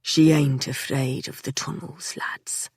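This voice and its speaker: Woman doing a low, unhurried gang boss, menacing drawl, smug calm, dry close sound. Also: vocal fry, husky, dry recording, heavily acted. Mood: amused. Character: calm, menacing man, smoky drawl, arrogant gang leader.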